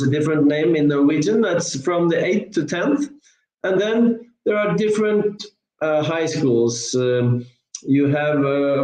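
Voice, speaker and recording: A man's voice: a little high, a little mellow, reverberant, poor audio. A man from Norway, a guest on an online interview, explaining at length with many fillers and restarts. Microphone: video call.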